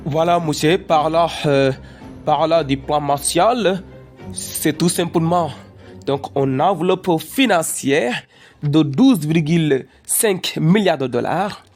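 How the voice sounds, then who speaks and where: An eager, deferential student character in an educational cartoon , voiced by an amateur actor, explaining a lesson haltingly. The pitch sits in a middle range, with faint music underneath. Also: a little mellow. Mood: earnest, apologetic. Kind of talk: educational cartoon dialogue, lecture-like recitation.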